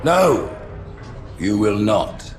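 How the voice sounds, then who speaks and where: A man playing a stern alien prophet in a video game, speaking formally and solemnly over loud music. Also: fairly low pitch, big pitch swings, extremely slow, exaggerated voice. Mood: stern, condemning. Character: stern, regal alien prophet passing judgement on a fallen commander. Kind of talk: video game cutscene acting.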